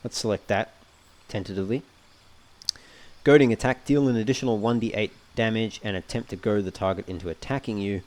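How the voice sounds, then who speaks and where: A man giving casual let's play commentary, thinking aloud in a measured, understated way and often reading game text out. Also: on the low side, a little mellow. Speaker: gamer narrating a playthrough.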